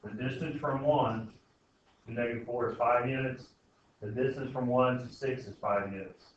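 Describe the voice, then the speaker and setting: A man's voice: mid-range voice, steady tone, normal speed. Echoey, distant male classroom teacher. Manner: informal, checks understanding often. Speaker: algebra teacher. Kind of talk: classroom lesson with questions to students. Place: indoor school classroom. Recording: very echoey noisy classroom, distant muffled mic.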